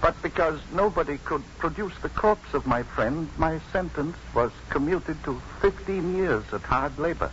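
An actor playing a slow, mild, earnest middle-aged ex-convict in a 1950s radio drama, plain-spoken, halting and deadpan. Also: male voice, a little high. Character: slow, simple, mild-mannered male ex-convict, earnest storyteller. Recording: muffled, noisy 1950s radio broadcast.

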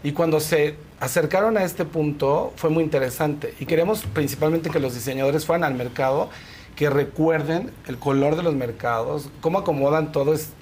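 Male, Mexican accent, higher-than-average pitch, chatty interview guest, explanatory, tag questions, faint background noise. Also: moderate pace, darkish tone. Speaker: guest, fashion film festival organizer. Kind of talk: casual interview chat.

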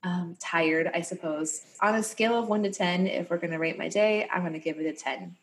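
A woman's voice: fairly low pitch, fairly quick. A woman in her thirties co-hosting a podcast in casual chat, her voice scratchy and tired, talking in an upbeat, frank way. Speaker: podcast co-host.

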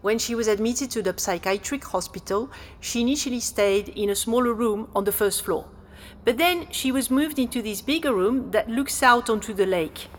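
An actress playing a helpful, slightly flustered exhibition guide, speaking politely and hesitantly in naturalistic audio drama dialogue. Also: female voice, a little high, moderate pace, natural voice. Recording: long hall-like reverb.

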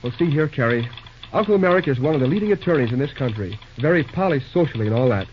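An actor playing an earnest, brisk, matter-of-fact railroad superintendent with an American accent in an old-time radio drama. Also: male voice, medium pitch, lively intonation, close to an everyday voice. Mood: earnest, impatient. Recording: dull, noisy old radio recording.

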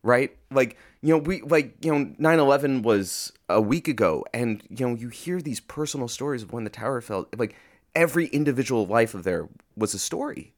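A man's voice: medium pitch, animated, normal speed. A man talking casually as a podcast guest, informal and often searching for his words. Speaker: podcast guest, audio fiction creator. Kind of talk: casual interview chat.

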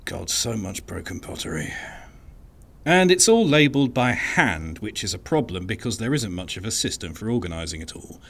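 A man playing an unassuming, wry and resigned archivist in an audio drama monologue, speaking in a dry, understated, conversational way with hesitant pauses. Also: on the low side, very animated, gravelly, a bit bright, breathy edge, heavily acted. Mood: weary, exasperated. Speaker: narrator of an audio fiction podcast.

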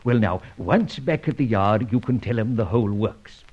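An actor playing a suave gentleman adventurer and secret vigilante in an old radio serial, with an urbane, wry, formally polite manner. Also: on the low side, lively intonation, normal speed, breathy edge. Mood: brisk, courteous. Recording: dull, lo-fi old radio recording.

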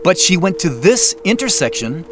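A man's voice: high-pitched, very animated, normal speed, music in the background. An actor playing an anxious, self-blaming man in a naturalistic audio drama. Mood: alarmed, regretful. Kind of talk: naturalistic audio drama acting with first-person narration.

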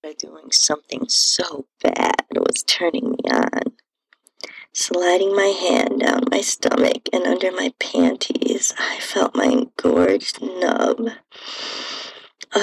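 A woman with a breathy, husky, creaky voice reading a first-person story in an intimate, sultry, drawn-out narration style. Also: lowish voice, no echo, rough recording. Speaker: podcast host and narrator.

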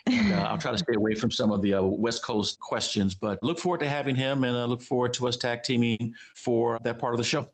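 A man's voice: medium pitch, a little fast. A man from Los Angeles co-hosting an education podcast, chatting with his co-host and reading a guest's introduction.

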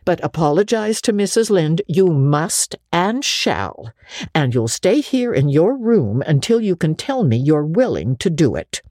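A woman's voice: low voice, big pitch swings, measured pace, dark tone, no room sound, pitched above the performer's own voice, heavily acted. A woman voicing a stern, no-nonsense older country woman in a storybook, dry and scolding. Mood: dry, firm.

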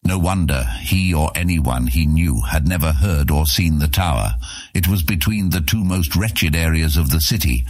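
Male audiobook narrator for scripted fantasy. Bottom-register pitch, some rasp, no room sound. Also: dry recording, studio quality.

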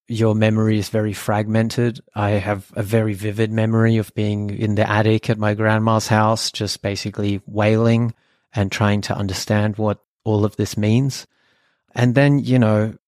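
A man's voice: lowish voice, a little mellow, no echo. Man, thirties, from australia, german first language, earnest and reflective, dry close sound. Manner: earnest, reflective, many fillers. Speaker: podcast co-host. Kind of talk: personal storytelling.

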